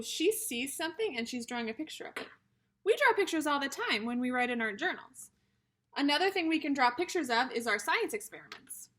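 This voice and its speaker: A woman teacher reading aloud to young children in an animated, instructional way, with simple questions and emphatic repetition. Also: on the high side. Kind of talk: children's read-aloud lesson.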